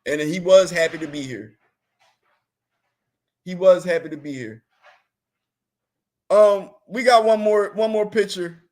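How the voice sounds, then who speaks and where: A man's voice: high-pitched, a little slow, pauses often, a little mellow. A man hosting a show, chatting casually and comically, slangy and rambling, often laughing. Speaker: host. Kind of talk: comedic casual chat.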